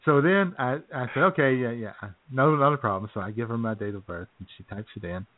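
A man co-hosting a chat show, telling a story in a relaxed way, often chuckling and clearing his throat. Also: mid-range voice, a little fast. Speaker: podcast co-host. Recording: phone-like lo-fi audio.

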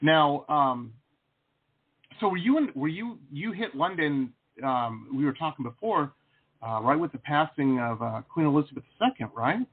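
A middle-aged man hosting a casual interview, rambling and animated, with lots of fillers. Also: fairly high pitch, normal speed, husky. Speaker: host. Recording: phone-like lo-fi line.

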